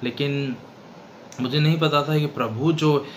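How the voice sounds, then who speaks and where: A man of 39 with an Indian accent gives an unscripted religious testimony, halting often and switching between two languages. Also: on the high side, fairly even intonation. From western Madhya Pradesh, India. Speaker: seminar participant giving a testimony. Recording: clearly noisy background.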